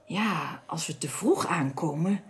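A woman's voice: low voice, lively intonation, measured pace. A woman playing a resolute alien in a science-fiction radio drama, explaining things decisively. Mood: composed, decisive. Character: calm, resolute alien woman helping spacemen escape.

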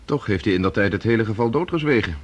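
Brisk, sceptical husky-voiced delegate. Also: male voice, fairly low pitch, expressive. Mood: wary, formal. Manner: formal, reserved. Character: cautious, sceptical, courteous male conference delegate. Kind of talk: radio drama acting.

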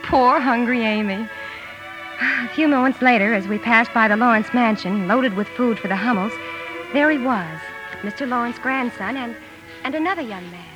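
A woman's voice: on the high side, moderate pace, highs cut off, over music, lightly acted. An actress playing a spirited, impulsive tomboy young woman in a period drama, hearty, eager and theatrical. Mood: wry narrating. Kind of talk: period radio drama acting with narration.

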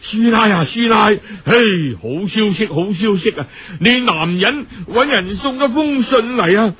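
A man's voice: sits high, expressive, measured pace, breathy edge, phone-quality sound, exaggerated voice. A man voicing a flustered, kindly old male shop boss who stammers and repeats himself in an audiobook story. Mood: excited.

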